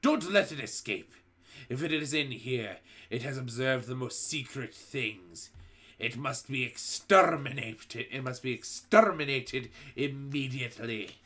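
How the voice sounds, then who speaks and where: A man's voice: medium pitch, animated, sharp tone. A man doing a vicious, commanding witch queen. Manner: barking orders, slow, menacing.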